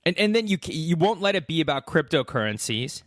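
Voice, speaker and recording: A man's voice: fairly high pitch, sing-song, a little fast, fairly bright tone, dry recording, clean studio sound. A man co-hosting a podcast in casual chat, rambling with lots of fillers. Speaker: podcast co-host.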